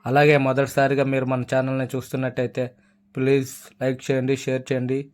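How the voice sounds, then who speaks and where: A man's voice: mid-range voice, completely flat delivery, fast talker. A man hosting a casual app tutorial, addressing listeners as friends. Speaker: YouTube app tutorial host.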